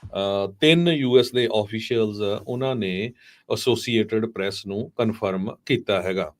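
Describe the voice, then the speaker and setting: A man presenting live radio news, relaying reports phrase by phrase with pauses and English terms mixed in. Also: fairly low pitch.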